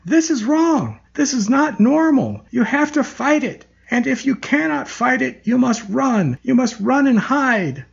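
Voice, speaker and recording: Man putting on a shrill defiant young woman patient. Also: very animated, unhurried, gravelly, few pauses, slightly rough, muffled recording, full character voice. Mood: defiant shouting. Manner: yelling, pleading and defiant.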